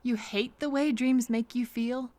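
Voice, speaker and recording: A woman playing a kind, apologetic train passenger in an intimate audio roleplay, speaking softly and hesitantly to reassure a frightened stranger. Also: on the high side, steady tone, no room sound, lightly acted. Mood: soothing. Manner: gentle, hesitant, reassuring, pausing for replies.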